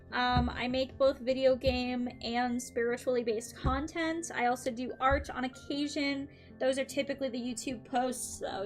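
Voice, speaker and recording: A woman's voice: high-pitched, a bit bright. A young woman streaming, chatting casually and upbeat with her viewers between tarot readings, her throat a little dry. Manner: chatty and upbeat, frequent "um" and light laughs. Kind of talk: casual live-stream chat.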